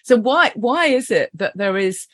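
Interview host, breathy voice with a lively, swooping pitch; chatty, close and dry sound. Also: measured pace, dry recording. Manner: chatty, laughs often, with a lively up-and-down delivery. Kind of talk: casual interview talk.

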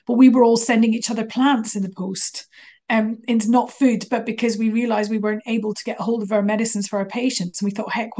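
A woman's voice: mid-range voice, a little mellow. A woman hosting an interview, asking questions and sharing her own stories in a chatty way. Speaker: host. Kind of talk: casual interview chat.

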